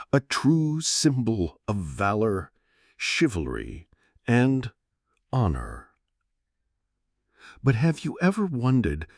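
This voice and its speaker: A man narrating a scripted history story for sleep, in a gentle, soothing storyteller's manner with dramatic pauses. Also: fairly low pitch, dry recording, clean studio sound.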